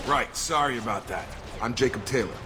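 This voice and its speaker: A man acting as a brisk, friendly soldier in a video game, talking straightforwardly. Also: medium pitch, noisy background, natural voice. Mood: surprised, brisk. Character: brisk, friendly male soldier under fire. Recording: loud game music and gunfire behind.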